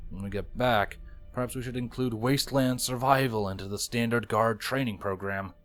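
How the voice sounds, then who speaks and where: A man's voice: medium pitch, moderate pace, a bit bright, soft background music, close to an everyday voice. Nocturnal stallion officer, voiced by a male reader: composed, weary, dry delivery for a fantasy story. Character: calm dry nocturnal stallion guard captain, tired.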